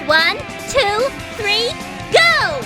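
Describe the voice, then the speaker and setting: A girlish young animal heroine, played by a woman speaks very high and with big swoops in pitch. She is a cartoon character who exclaims her lines over loud music. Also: big pitch swings, extremely slow, full character voice. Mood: spirited.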